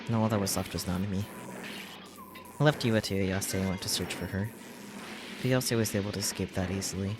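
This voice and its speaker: Low-voiced man narrating, noisy background. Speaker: streamer reading a visual novel aloud. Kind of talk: dramatic read-aloud narration of a game script.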